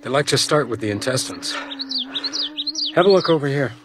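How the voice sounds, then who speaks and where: A man's voice: mid-range voice, animated, moderate pace, noisy background, close to an everyday voice. An actor playing a stoic, blunt male detective in a radio crime drama, with dry, understated delivery. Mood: matter-of-fact.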